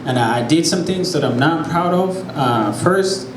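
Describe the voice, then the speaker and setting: A man's voice: fairly high pitch, animated, normal speed, slight background noise. A young man from Uganda with a Ugandan accent performing a playful stand-up set and chatting with the crowd. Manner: playful, crowd work, acts out voices in his stories. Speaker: stand-up comedian. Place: brewery comedy show before a live audience. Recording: echoey brewery room with crowd ambience.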